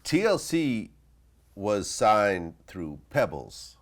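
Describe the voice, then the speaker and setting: A man's voice: on the low side, sing-song, drawn-out words. A man with an American accent asking questions casually as the host of an interview.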